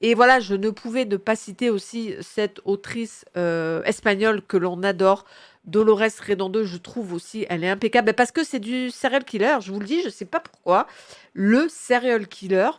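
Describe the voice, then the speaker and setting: A woman's voice: mid-range voice, normal speed. A woman with a head cold gives casual, enthusiastic book recommendations in a slangy, rambling way, often correcting herself. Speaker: book reviewer presenting her reading picks.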